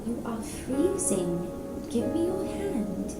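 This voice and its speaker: A woman reads as a caring, firm young woman in a fantasy novel. She sounds gentle and coaxing at a slow pace, in a clearly echoing room over music. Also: fairly high pitch, big pitch swings, far from the mic, heavily acted. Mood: insistent. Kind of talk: audiobook-style novel reading. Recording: roomy echo, music behind.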